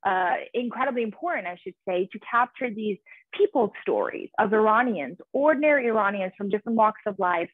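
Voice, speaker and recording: A woman's voice: mid-range voice, animated, brisk, no room sound. A woman in her thirties from Iran, speaking earnestly as an author guest on a video call, with many restarts. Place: small room at home. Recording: tinny dry call audio.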